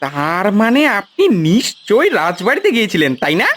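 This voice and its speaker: A woman's voice: medium pitch, wildly swooping pitch, fairly quick. A woman voicing a sorcerer's eager male sidekick in a cartoon fairy tale, inquisitive and fawning. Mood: curious.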